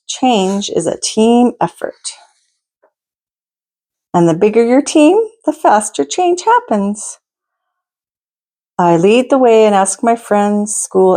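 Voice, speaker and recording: A woman reading a picture book aloud to children as a storytime host, in a deliberate, expressive manner with asides to her listeners. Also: on the high side. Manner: deliberate, expressive, pauses to ask listeners questions.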